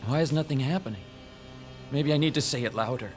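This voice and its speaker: A man's voice: medium pitch, brisk, faint music behind, lightly acted. An actor playing a timid comic book shop owner in a radio drama, a nervous man with an earnest manner, trying to sound defiant. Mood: dejected. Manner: earnest, hesitant.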